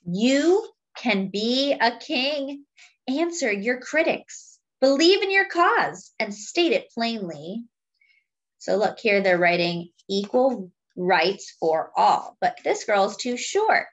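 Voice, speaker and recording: A woman reading a picture book aloud to young children in a deliberate, teacherly way, adding simple explanations as she goes. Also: a little high, very animated, muffled recording. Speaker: children's read-aloud storyteller.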